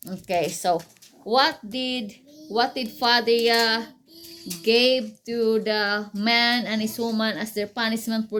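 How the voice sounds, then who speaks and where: Slow-speaking woman teaching a child. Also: a little high, a bit bright, slight background noise. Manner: patient, questioning, simple repetitive phrasing. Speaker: mother teaching her child. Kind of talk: Bible story lesson with quiz questions for a child.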